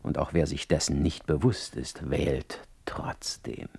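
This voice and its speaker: A man's voice: a bass voice, fairly even intonation, unhurried, vocal fry, darkish tone, slightly rough, no echo, whispered, heavily acted. An actor playing a brooding, ironic poet in a radio play, speaking an eloquent, theatrical monologue as a letter to his brother. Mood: ironic, reflective.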